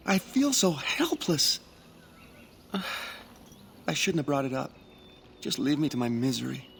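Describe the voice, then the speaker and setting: A man's voice: medium pitch, sing-song, takes it slowly, gravelly, fairly bright tone, a little hiss or hum, heavily acted. A man playing a timid, lovesick young elf hunter apprentice in a video game, hesitant, stammering and easily frustrated. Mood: frustrated, miserable. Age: young adult. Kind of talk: dramatic game dialogue.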